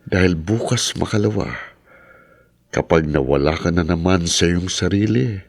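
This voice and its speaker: An actor playing an older father who is secretly a monster, speaking in an ominous, deliberate way in a Filipino horror radio drama. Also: deep voice, creaky, no echo, clean studio sound. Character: sinister older father, secretly a winged man-eating monster.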